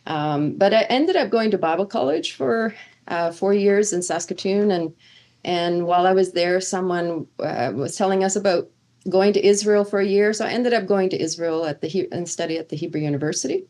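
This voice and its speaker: Earnest Swedish-born woman professor, low voice. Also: lively intonation, normal speed, a little mellow. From Sweden. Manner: earnest, with frequent fillers and restarts. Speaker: seminary professor of Old Testament. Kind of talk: conversational interview answers.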